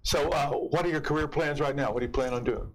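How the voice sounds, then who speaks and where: A man's voice: a little high, fast talker, a little hiss or hum, poor audio. A man hosting a talk show, asking casual questions and dropping dry wisecracks. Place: basement of a comedy club.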